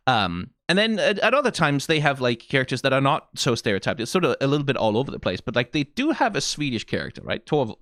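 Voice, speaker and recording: A man's voice: medium pitch, a bit bright, no room sound, studio quality. A young man with a Danish accent, talking casually and wryly to the camera as a reaction YouTuber, playful and swearing for emphasis. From Denmark (Funen). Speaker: YouTuber reacting to a game trailer. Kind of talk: casual commentary.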